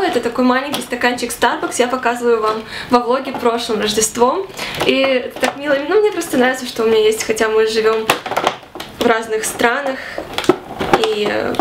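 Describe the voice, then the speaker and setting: Chatty girl in her 20s vlogging, kinda high voice, roomy sound w/ some noise behind. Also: a little fast. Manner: chatty and animated, gesturing a lot. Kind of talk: casual chat to camera. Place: small bedroom with fairy lights.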